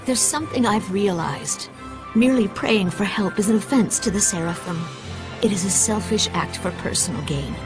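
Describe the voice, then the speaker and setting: A woman's voice: mid-range voice, expressive, normal speed, natural voice. An actress playing a devout, anxious townswoman and mother, earnest, polite and formal, in a fantasy game scene. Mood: anxious, pleading. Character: devout, anxious mother pleading with her priest. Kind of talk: dubbed fantasy game cutscene acting. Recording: orchestral music behind the voice.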